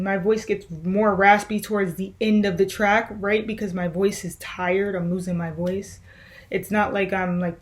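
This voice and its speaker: A young woman musician answering viewer questions in a loose, rambling way, with many fillers and restarts. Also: medium pitch. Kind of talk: casual Q&A vlog.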